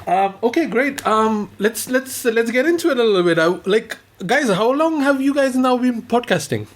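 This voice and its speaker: Chatty podcast host from Belgium. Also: male voice, extremely high pitch, expressive, moderate pace. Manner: chatty, many restarts. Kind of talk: casual podcast chat.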